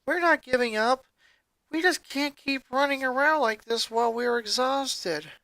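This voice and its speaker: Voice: sits high, a little slow, sharp tone, no echo, pitched above the performer's own voice, low-quality recording. A story reader voicing a protective eldest teenage sister, a girl who speaks in a firm, earnest, emphatic way. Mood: firm resolve.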